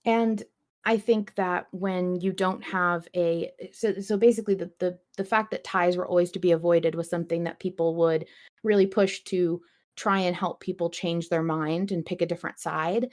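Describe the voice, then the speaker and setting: Lower-pitched woman chatting on a podcast. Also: steady tone, moderate pace, darkish tone. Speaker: podcast host. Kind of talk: casual podcast chat.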